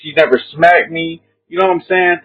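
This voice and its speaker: Young guy, husky voice a bit high, tinny phone line, keeps repeating himself. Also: a little fast. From Kentucky. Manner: emphatic, repeats himself, says "bro" often. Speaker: guest caller. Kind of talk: earnest casual chat. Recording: tinny phone-like call audio.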